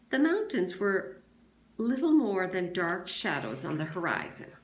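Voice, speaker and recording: A woman, a teacher, reading a children's novel aloud with expression. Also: medium pitch. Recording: echoey room sound.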